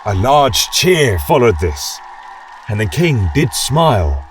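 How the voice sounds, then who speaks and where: A man's voice: mid-range voice, sing-song, takes it slowly, distant mic. A man narrating a scripted fantasy tale in a solemn, dramatic manner. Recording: echoey room, music behind. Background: choral music.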